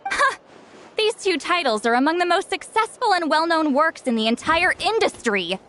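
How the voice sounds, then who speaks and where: A woman voicing a haughty, sharp-tongued shaman who is centuries old, speaking sarcastically and dismissively in a game cutscene. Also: very high voice, very animated, normal speed, exaggerated voice. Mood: sardonic.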